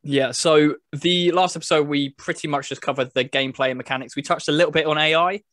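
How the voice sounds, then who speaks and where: A man's voice: fairly high pitch, steady tone, quick pace, fairly bright tone, no room sound, studio quality. A man hosting a casual video chat about games, talking as a podcast presenter. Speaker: podcast host presenting a game review video.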